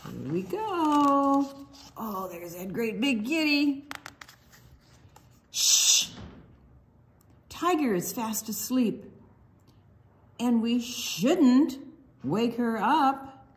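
A woman reading a picture book aloud to young children, expressively, drawing out words and slipping into hushed asides. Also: a little high, lively intonation, extremely slow, pauses often, slight background noise. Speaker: children's storytime reader.

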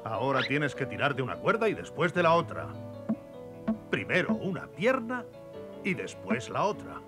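A man voicing a gruff, commanding old gnome captain in a cartoon, easily exasperated, with music behind. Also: mid-range voice, wildly swooping pitch, moderate pace. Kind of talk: cartoon dub acting.

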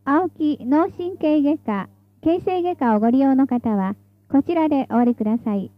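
A woman's voice: sits high, expressive, moderate pace, dry recording, dull audio. A woman reading scripted tram announcements in a formal, polite, carefully enunciated way, as the stop announcer.

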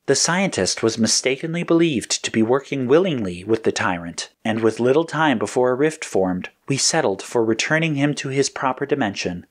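A man's voice: mid-range voice, animated, voice pushed up, close to an everyday voice. A man voicing a formal, unflappable computer. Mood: matter-of-fact. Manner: formal, precise, measured. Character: polite, formal, unflappable mission-control computer. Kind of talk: acted audio story dialogue.

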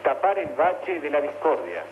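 A man's voice: on the high side, sing-song, normal speed. Man, Argentine accent, radio announcer, formal delivery, muffled sound, noisy background. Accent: Argentine Spanish. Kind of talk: formal message read slowly for dictation. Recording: muffled, noisy long-distance radio link.

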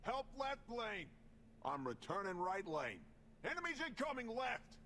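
Male game character, high and lively, short callouts, noisy clip. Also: slow pace, full character voice. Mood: commanding.

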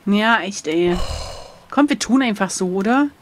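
A woman's voice: mid-range voice, lively intonation, a little slow. A woman playing through a gamebook, chatting casually in a joking way with frequent exclamations. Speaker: player in a gamebook playthrough. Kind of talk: casual playful chat.

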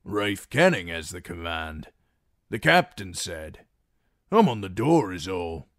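A man reading an audiobook voices a rough, frightened male guard who shouts threats. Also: deep voice, very animated, slow, no room sound, studio quality, heavily acted. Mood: wary.

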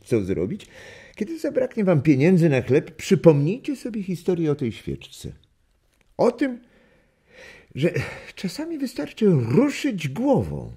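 An older man acting a warm grandfather in a children's radio drama, husky, telling stories reflectively with deliberate pauses. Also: on the high side, exaggerated voice.

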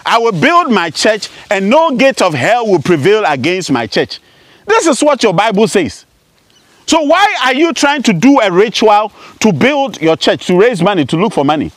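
A man in his forties from Ghana addresses the camera outdoors with fierce, declamatory accusations, his voice pitched high and swinging widely up and down. The sound is dry and close, with no room around it. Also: fairly bright tone, dry recording. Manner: declamatory, rising and falling sharply, repeating "huh?". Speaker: spiritualist. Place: outdoors among bushes.

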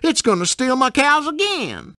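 A man's voice: extremely high pitch, wildly swooping pitch, unhurried, sharp tone, no echo, clean studio sound, heavily acted. A man voicing a simple, good-natured video game farmer with a folksy rural American accent. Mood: earnest, folksy. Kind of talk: video game character dialogue.

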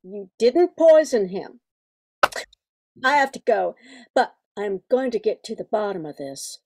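A woman's voice: mid-range voice, big pitch swings, a little slow, background noise. An older woman acting a spirited, protective grown daughter in an amateur radio play, speaking emphatically. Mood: determined. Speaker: amateur radio drama performer.

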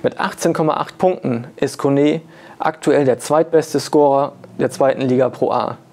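Guy in his 30s doing sports news from an office, medium pitch, lapel mic. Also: normal speed, darkish tone. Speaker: sports editor. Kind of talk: scripted sports preview to camera. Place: newspaper office, indoors.

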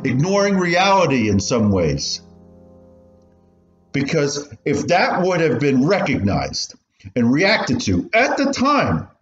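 A man playing an animated, pained old survivor. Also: on the high side. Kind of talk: student radio play acting. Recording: dull, roomy recording with music.

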